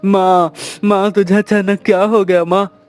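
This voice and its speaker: A man's voice: high-pitched, flat delivery, measured pace, darkish tone, soft background music. A man voicing an anxious village son.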